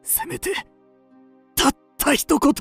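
An actor playing an aging, ardent, scheming kabuki star in a period radio drama, speaking in theatrical, archaic Japanese phrasing. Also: male voice, slow, fairly bright tone, faint music behind. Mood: desperate, intense.

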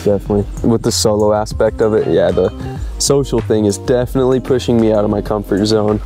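Young van-life host, relaxed and reflective, low and mellow. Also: male voice, fast, faint music behind. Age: young adult. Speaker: vlog host, van lifer. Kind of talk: casual vlog chat and narration. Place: outdoors by a van, then inside a campervan.

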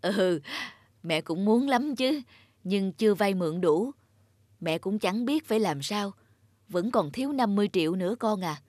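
A woman's voice: medium pitch, expressive, moderate pace, a little mellow, no room sound, studio quality, natural voice. A woman voicing a humble, anxious elderly mother from the countryside in a cartoon. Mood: worried, hesitant. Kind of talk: cartoon dubbing.

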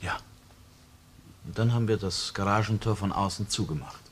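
Reserved husband under questioning, played by a man: low, measured, terse, guarded; crime radio drama with faint noise. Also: close to an everyday voice. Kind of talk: German-language crime radio play acting.

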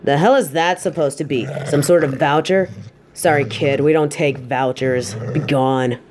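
A man voicing a surly, menacing wolf bandit in an audio drama, gruff and dismissive. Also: on the high side, steady tone, moderate pace, a little mellow, a little hiss or hum, natural voice. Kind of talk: fan audio drama acting.

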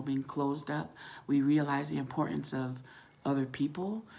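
Husky, low-voiced middle-aged woman. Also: darkish tone. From Samoan, living in California. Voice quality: husky, gravelly. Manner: chatty, acts out quoted dialogue. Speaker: vlogger. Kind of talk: casual storytelling to camera. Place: small room at home, indoors. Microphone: phone at arm's length.

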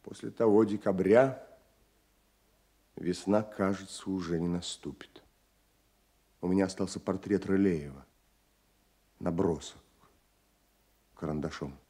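An actor playing a melancholy 19th-century painter in a Russian-era radio play, somber and reflective, with a husky voice. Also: male voice, deep voice, fairly even intonation, a little slow, pauses often, warm tone, full character voice. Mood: somber, grieving. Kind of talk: Soviet radio play acting.

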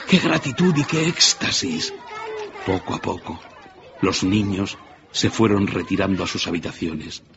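A man's voice: lowish voice, vocal fry, dull audio. A man narrating a classic tale as a dramatic scripted radio story. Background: music.

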